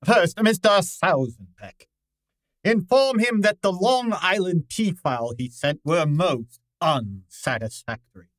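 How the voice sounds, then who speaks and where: Guy doing a cranky pompous gourmet detective, old time radio style, slow and very up and down, dry sound. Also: high-pitched, lively intonation, no echo. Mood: fussy, imperious. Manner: pompous, imperious, formal diction. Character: pompous, cantankerous gourmet detective, a large man.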